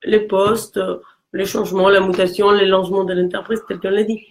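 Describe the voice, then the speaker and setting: A woman from Mexico with a Mexican Spanish accent and a low voice, talking earnestly in a casual conversation. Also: little pitch movement, moderate pace, a little hiss or hum, poor audio. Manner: earnest, encouraging, with frequent restarts.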